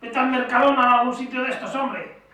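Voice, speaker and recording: A man's voice: very high-pitched, steady tone, fast talker, pushed and bright. A man with a Spain accent playing a grumbling, bossy person at home in an amateur comedy sketch. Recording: echoey room, far from mic, faint noise.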